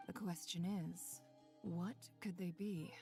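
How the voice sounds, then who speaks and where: A woman's voice: lowish voice, fairly even intonation, slow pace, dark tone. A woman voicing a composed, serious young woman in a video game, level-headed and probing. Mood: serious, pondering. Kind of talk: English video game voice acting. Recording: music under the voice.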